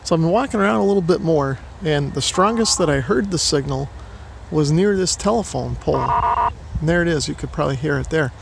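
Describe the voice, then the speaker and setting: A man's voice: a little high, lively intonation, brisk, gravelly, noisy background. A man narrating a casual vlog in an informal, explanatory way, thinking aloud as he goes. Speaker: vlogger, radio hobbyist.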